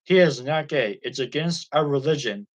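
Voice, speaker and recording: An earnest mother, hurt and protective of her son, as a male reader voices her. The voice stays fairly high and plain, barely changed from the narration. She sounds sincere and emotional. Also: expressive, normal speed.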